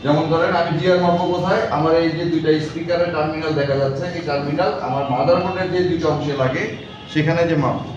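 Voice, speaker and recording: A man's voice: a little high, steady tone, fast talker, crowd noise. Man teaching in a very echoey room. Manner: instructional, repetitive, often quizzing listeners. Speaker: electronics repair instructor. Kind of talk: classroom lecture with check questions. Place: echoey indoor classroom. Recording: very echoey room, far mic, clear noise, dull.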